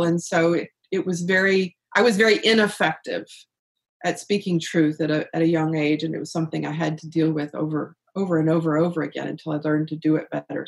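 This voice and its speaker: A middle-aged woman speaking earnestly and reflectively as an interview guest about purpose and spirit, with soft laughs. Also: on the low side. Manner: earnest, searching, laughs softly. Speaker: guest, author on life purpose.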